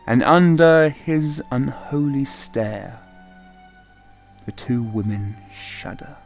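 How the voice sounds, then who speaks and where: A man narrating a scripted horror radio play in a dramatic, measured way. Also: mid-range voice, lively intonation, vocal fry, faint music behind.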